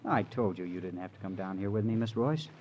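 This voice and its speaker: A man's voice: deep voice, fast, darkish tone. Earnest, wounded young man. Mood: polite. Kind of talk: 1950s radio drama acting. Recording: muffled, hissy old radio recording.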